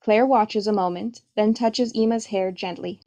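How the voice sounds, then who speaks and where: A woman's voice: mid-range voice, fairly even intonation, normal speed, mellow. A woman giving scripted narration, reading the stage directions of a play.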